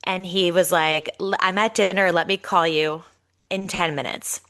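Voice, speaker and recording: A woman in her thirties, congested and nasal, co-hosting a podcast and telling a story in a lively, chatty way. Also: on the low side, normal speed. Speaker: podcast co-host. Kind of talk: casual storytelling chat.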